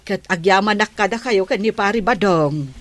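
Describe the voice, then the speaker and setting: A woman's voice: mid-range voice, normal speed, no room sound, natural voice. A woman playing a village captain's patient but nagging wife in a comedy radio drama. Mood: polite, coaxing.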